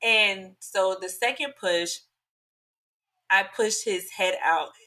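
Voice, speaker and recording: Bright-voiced young woman, chatty storyteller. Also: medium pitch, slow pace. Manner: chatty, animated, many fillers. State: slightly hoarse. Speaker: podcast guest. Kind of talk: casual storytelling.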